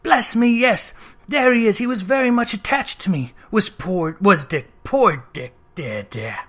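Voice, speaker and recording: A storybook narrator voicing an old man, softened and emotional, given to wistful exclamations. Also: mid-range voice, lively intonation, a little slow. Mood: wistful, fond.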